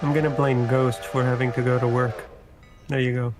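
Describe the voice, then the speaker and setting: A man's voice: mid-range voice, flat delivery, moderate pace, over loud music. A man from Florida joking and teasing in a casual gaming chat over a voice call. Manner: teasing, jokey.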